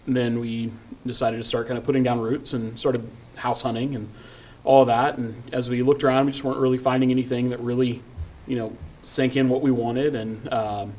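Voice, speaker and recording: A man from Oklahoma running for council, speaking hesitantly with many pauses. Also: mid-range voice, little pitch movement, darkish tone. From Tulsa, Oklahoma. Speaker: council candidate.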